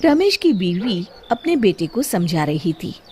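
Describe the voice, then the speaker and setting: A woman narrating a scripted children's story in a dramatic, expressive way. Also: medium pitch, measured pace, a little hiss or hum.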